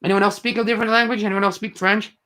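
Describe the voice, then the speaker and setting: A young man with a fairly high voice chats to viewers on a live stream. He rambles, restarts his sentences and fills the gaps with ums and likes. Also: level tone, rapid-fire, sharp tone. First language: English. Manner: rambling, chatty, many fillers and restarts. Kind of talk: casual live commentary chat.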